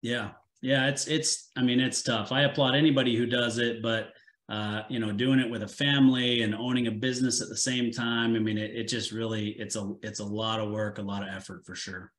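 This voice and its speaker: A man's voice: medium pitch, little pitch movement, a little fast, a bit bright. A man in his forties hosting a business podcast, talking in an easygoing way with frequent restarts. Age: 40s. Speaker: podcast host. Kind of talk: casual interview conversation. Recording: echoey room sound.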